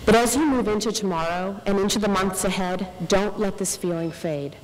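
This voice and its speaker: A young woman giving an earnest, measured inspirational speech as a faculty speaker at a podium microphone. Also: mid-range voice. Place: large indoor ceremony hall, on stage. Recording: reverberant hall with clear background noise.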